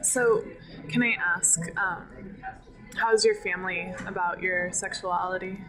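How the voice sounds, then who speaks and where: A woman interviewing a man, asking gentle questions and giving encouraging short replies. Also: on the low side, steady tone, unhurried, low-quality recording. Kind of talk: casual interview. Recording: background music.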